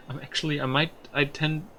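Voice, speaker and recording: A man's voice: fairly high pitch, flat delivery, a little slow, bright, forceful tone, a little hiss or hum. A man giving casual video game commentary, musing aloud with some mild swearing. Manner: musing aloud, mildly profane.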